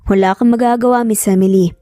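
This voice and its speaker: A woman's voice: medium pitch, fairly even intonation, fast, dark tone, no room sound, studio quality, soft background music, close to an everyday voice. A woman voicing a curt, bossy, rich male employer in a romance audio story, commanding and dismissive. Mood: smug, curt.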